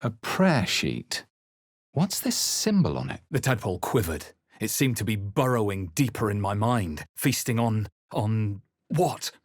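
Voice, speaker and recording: A man playing a grave, introspective adventurer hero in a fantasy video game, speaking in a measured, dramatic way. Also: fairly low pitch, big pitch swings, dry recording, studio quality. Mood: curious, wondering. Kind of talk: scripted video game narration and character lines.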